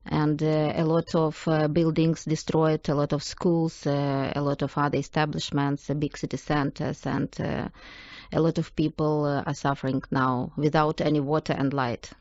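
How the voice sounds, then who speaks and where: A middle-aged woman with a Ukrainian accent, answering in halting, careful English as an interview guest. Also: deep voice, level tone, darkish tone, no echo, dull audio. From southern Ukraine. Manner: halting second-language English. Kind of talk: hesitant conversational interview. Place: radio studio.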